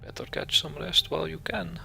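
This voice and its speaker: A blunt woman warrior speaks with dry, sardonic edge. A man voices her pitched up a little, with a bright, gravelly tone. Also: fairly even intonation, fairly quick, faint music behind, exaggerated voice.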